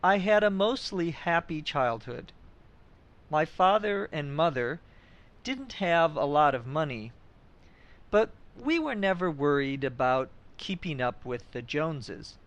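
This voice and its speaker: A middle-aged man from Minnesota hosting an English lesson podcast, speaking clearly and deliberately as he explains expressions. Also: on the high side, expressive, fairly bright tone, lo-fi sound. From Minnesota, USA. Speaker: podcast host and English teacher. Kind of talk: scripted English lesson for learners.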